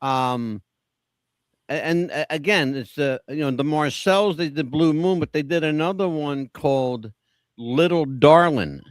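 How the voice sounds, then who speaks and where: A man with a slightly higher voice trades song picks on a podcast and spins chatty, self-deprecating stories. His voice comes through dry and close, with no room sound around it. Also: unhurried, dry recording. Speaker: podcast co-host. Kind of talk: casual chat about favorite songs.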